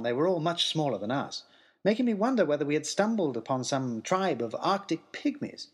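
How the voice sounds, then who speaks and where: A man narrating an old-fashioned first-person adventure story in a theatrical way, with deliberate dramatic pauses. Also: fairly high pitch, slightly rough. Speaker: narrator of a serial audio story.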